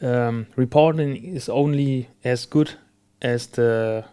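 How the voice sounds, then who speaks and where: Halting, agreeable man on a podcast. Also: mid-range voice, fairly even intonation, warm tone, smooth. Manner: agreeable, halting, searching for words. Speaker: podcast host. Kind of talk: conversational business podcast discussion.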